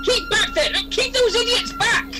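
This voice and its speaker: A woman's voice: very high voice, huge pitch swings, unhurried, loud music behind the voice, heavily acted. A woman playing a frantic police officer barking commands in a radio drama. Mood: frantic, commanding. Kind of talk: shouted radio drama acting.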